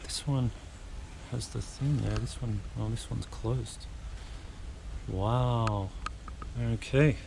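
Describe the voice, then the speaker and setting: Male travel vlogger, chatty and thinking aloud, mid-range voice, unhurried delivery, recorded outdoors with noticeable noise behind. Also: mid-range voice, vocal fry. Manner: chatty, muses aloud while exploring. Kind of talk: casual walking commentary. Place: outdoors in rural countryside. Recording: outdoor camera audio with noise. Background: wind and outdoor ambience.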